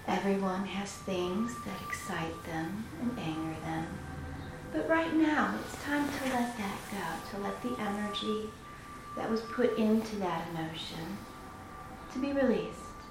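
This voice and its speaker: A woman leading a guided meditation in a soothing, unhurried manner, pausing between phrases. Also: mid-range voice. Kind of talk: guided meditation, slow instruction. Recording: very echoey room with music. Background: meditation music.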